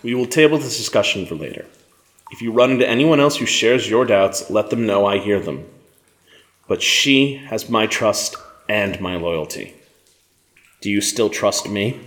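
Low-voiced, stern rebel commander. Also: male voice, animated, a bit bright. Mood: commanding. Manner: measured, deliberate, commanding. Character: stern male militant rebel commander, battle-hardened, controlled simmering anger. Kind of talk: audio drama acting.

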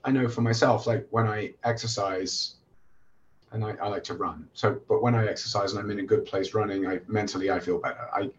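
A man, hoarse from a recent illness, hosting a podcast interview in a relaxed way with many fillers and restarts. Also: fairly low pitch, brisk, highs cut off, a little hiss or hum. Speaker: podcast host and oncologist.

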